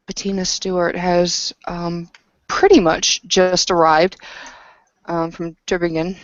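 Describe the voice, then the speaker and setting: A woman narrating a tabletop roleplaying session in a hesitant, halting way. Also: lowish voice, little pitch movement, dry recording. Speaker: game master and player. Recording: dull lo-fi voice recording.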